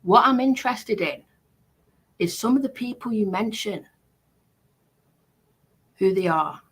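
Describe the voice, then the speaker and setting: Woman, mid-pitched, unhurried and halting, offering casual commentary in short phrases. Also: animated, husky. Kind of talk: casual commentary on played clips.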